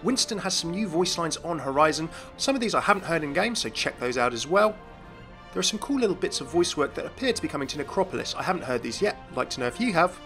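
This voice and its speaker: A man's voice: fairly high pitch, lively intonation, fast, fairly bright tone, faint music behind. A man giving chatty, upbeat video game news commentary, speculating aloud as he presents.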